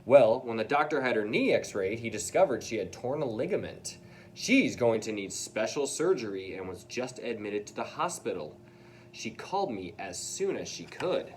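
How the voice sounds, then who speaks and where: A man reads as a kindly male principal in a children's storybook. He explains things in a measured, reassuring way, with a very lively rise and fall at a middle pitch. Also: slight background noise, natural voice. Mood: grave. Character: calm, kindly male school principal with a mustache.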